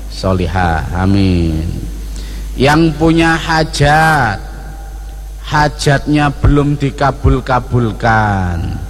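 Joking East Javanese preacher in a mosque. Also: male voice, mid-range voice. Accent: East Javanese (Surabaya). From East Java. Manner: jokey, animated, playing to the congregation. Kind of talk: sermon with humorous prayers. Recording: reverberant mosque hall, faint ambience.

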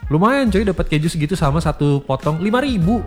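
A man's voice: fairly high pitch, lively intonation, quick pace, a little mellow, faint music behind. A man with an Indonesian accent hosting a casual, chatty radio interview, asking curious questions and repeating answers back to check them. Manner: inquisitive, repeats back answers, often adds tags seeking confirmation. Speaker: radio host interviewing a guest.

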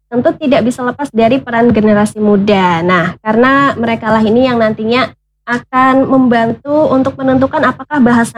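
A woman hosts a radio talk show, speaking to listeners in a polite, measured way. Her voice sits a little high, and a faint noise runs underneath it. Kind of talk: radio interview hosting. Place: radio studio.

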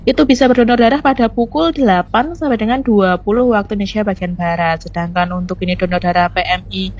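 A hoarse woman on the staff of a blood donor unit, calling in to a radio show and reading out schedules and lists of stock figures in a friendly way. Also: mid-range voice, fast talker, a little mellow, dull audio. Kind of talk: radio phone-in report.

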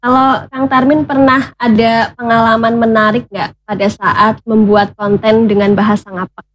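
A woman's voice: on the high side, moderate pace, a little hiss or hum. Polite woman hosting a talk show. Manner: polite, steady prompting, frequent "ya" tags. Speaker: host.

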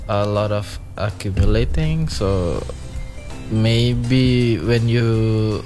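A man's voice: on the low side, drawn-out words, over music. A man with an Indonesian accent in a casual panel chat, hesitant, with many fillers and restarts. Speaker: guest speaker.

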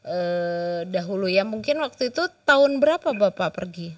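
A woman hosting an interview, asking questions and keeping up steady brief hums of agreement. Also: mid-range voice, normal speed. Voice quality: chatty, with many brief backchannel hums. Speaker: interviewer host. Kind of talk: casual interview chat.

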